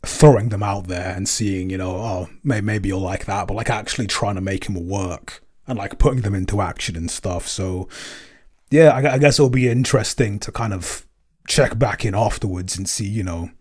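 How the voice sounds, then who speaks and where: Low-voiced man talking hesitantly. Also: normal speed, a little mellow, husky. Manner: hesitant, searching for words, repeats himself. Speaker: podcast co-creator, composer and episode writer. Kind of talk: casual Q&A chat.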